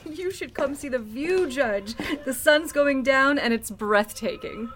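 A woman's voice: high-pitched, animated, a little slow, background noise. A woman playing a poised, sociable senator in a radio drama, with a gracious, playful manner. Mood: gracious, friendly. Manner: gracious, light social banter. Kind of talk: golden-age radio drama acting.

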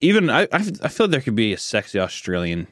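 An American man co-hosting a podcast, telling things in a halting, stammering way in casual chat. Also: fairly low pitch, big pitch swings, no room sound, clean studio sound. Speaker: podcast co-host.

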